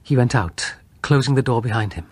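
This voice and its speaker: A man's voice: medium pitch, lively intonation, a little mellow, breathy edge, no room sound. Male narrator reading a suspenseful horror tale. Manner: suspenseful, measured storytelling with dramatic pauses. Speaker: audiobook narrator.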